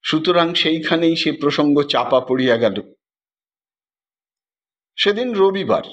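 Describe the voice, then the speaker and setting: A man narrating a literary story in a measured, expressive and formal dramatic manner. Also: a little high, muffled recording. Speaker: audiobook narrator.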